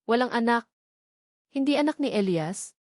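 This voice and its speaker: A woman's voice: a little high, lively intonation, moderate pace, a little mellow, dry recording, studio quality, lightly acted. A woman voicing a young mother in a storybook tale, wounded and suspicious, with emotional questioning. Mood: shocked disbelief. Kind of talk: dramatic storybook dialogue.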